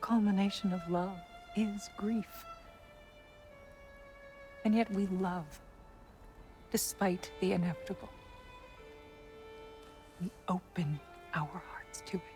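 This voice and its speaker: A woman playing a gentle, wise wife in a video game drama, serene about death, speaking softly and with measured tenderness. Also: medium pitch, fairly even intonation, soft background music, close to an everyday voice. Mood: solemn, loving.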